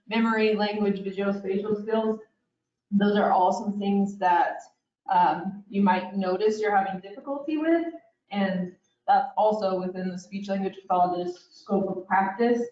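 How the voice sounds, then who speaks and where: A woman's voice: medium pitch, a little mellow. A woman speech-language pathologist giving a casual educational presentation, explaining with frequent "kind of" fillers. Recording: roomy, distant, dull sound.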